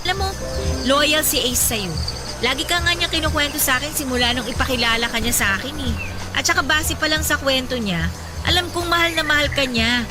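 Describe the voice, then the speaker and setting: Young female friend played by a woman, high voice, reassuring and sincere, audio drama over prominent music. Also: fairly quick, lightly acted. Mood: self-deprecating, reassuring. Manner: earnest, reassuring. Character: friendly young woman, earnest, self-deprecating loyal friend of a couple. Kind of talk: Tagalog audio romance drama acting. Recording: loud music bed under the voice.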